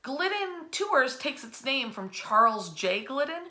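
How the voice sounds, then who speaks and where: A middle-aged American woman telling history in a casual, animated way, speaking in a bedroom to a camera a short distance away. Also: sits high, measured pace. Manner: animated storyteller, acting out quotes in slangy asides. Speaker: history storyteller and host. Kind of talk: casual, informal history storytelling. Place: indoors in a bedroom.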